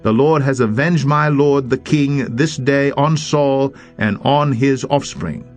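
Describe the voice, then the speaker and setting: A man's voice: medium pitch, takes it slowly, soft background music, pitched above the performer's own voice, lightly acted. A man as a proud, declamatory raiding captain. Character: two raiding captains proudly reporting to their king. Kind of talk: dramatic scripture reading.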